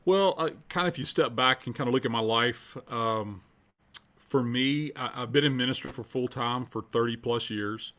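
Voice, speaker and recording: A man's voice: mid-range voice, normal speed, a bit bright. A man in his fifties with an American accent, answering interview questions conversationally and earnestly, repeating phrases for emphasis. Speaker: podcast guest, culture coach and minister.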